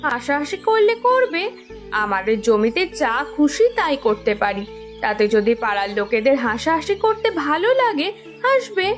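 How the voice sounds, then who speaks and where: A woman's voice: high-pitched, sing-song, moderate pace, faint music behind. A woman voicing a stubborn, resourceful young village wife in a cartoon, speaking insistently and defiantly. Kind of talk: Bengali village cartoon dubbing.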